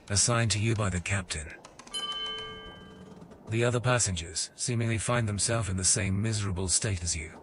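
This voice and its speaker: An actor narrating a fantasy audio game as a calm, measured male storyteller. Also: on the low side, noisy background, close to an everyday voice. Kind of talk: scripted narration.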